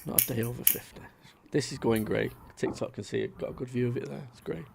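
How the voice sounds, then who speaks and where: A man's voice: mid-range voice, fast talker, vocal fry, background noise. A man, chatting casually to viewers on a live stream and reading out their comments. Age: 30s. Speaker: livestream host.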